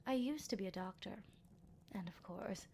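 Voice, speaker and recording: A woman acts out a vampire captor in an ASMR roleplay, speaking in an intimate, whispery voice at a middle pitch. The vampire is menacing yet playful, taunting a captive at a leisurely, teasing pace. Clear background noise and ambience sit behind the voice. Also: normal speed, a little mellow, lightly acted. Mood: teasing, lecturing.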